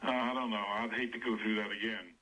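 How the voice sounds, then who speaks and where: A man, a veteran radio broadcaster recalling the past slowly and with gentle humor. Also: on the low side, fairly even intonation, rough recording. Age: 90s. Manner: slow, rambling, gently humorous. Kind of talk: interview reminiscence. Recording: radio interview with faint noise.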